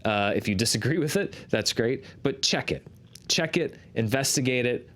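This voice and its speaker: A man's voice: mid-range voice, lively intonation, normal speed, fairly bright tone. A man hosting a box office show, explaining earnestly in a conversational style and often correcting himself as he goes.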